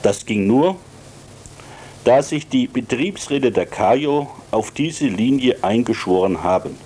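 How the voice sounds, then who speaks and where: Male commentator, dark soft tone, lively intonation, emphatic phrasing at an unhurried, deliberate pace. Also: mid-range voice, husky. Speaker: works council member and radio contributor. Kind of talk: prepared radio commentary.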